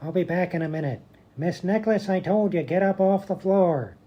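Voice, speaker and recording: A woman playing a brusque, matter-of-fact hospital doctor with deadpan delivery in a radio comedy. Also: on the low side, moderate pace, highs cut off, natural voice.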